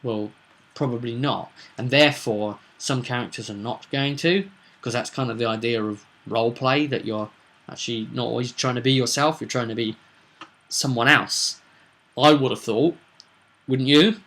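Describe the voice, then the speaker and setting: Guy, game master doing a chatty explainer, bright forward voice, rambles a bit and checks in with little questions. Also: mid-range voice, moderate pace. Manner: discursive, conversational, with rhetorical tag questions. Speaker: game master, vidcast host. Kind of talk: informal explanatory monologue on tabletop roleplay.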